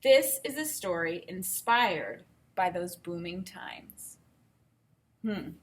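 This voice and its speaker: A woman teacher reading a storybook aloud and pausing to explain it simply to young learners. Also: on the low side, expressive. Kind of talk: read-aloud lesson with think-aloud commentary.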